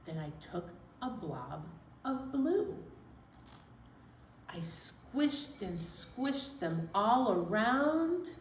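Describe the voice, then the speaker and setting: Female children's story time host, playful and unhurried, clear step-by-step delivery, roomy echo with faint noise. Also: medium pitch, big pitch swings. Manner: playful, simple step-by-step explaining. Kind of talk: children's story time presentation, poem recital.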